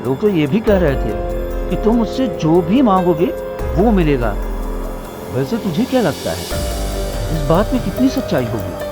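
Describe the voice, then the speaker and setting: An excitable young village man in a cartoon, voiced by a man, pitched a bit high and always pleading with his friend. He scares easily. Music plays behind him. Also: a little slow, pauses often. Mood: eager. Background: background music.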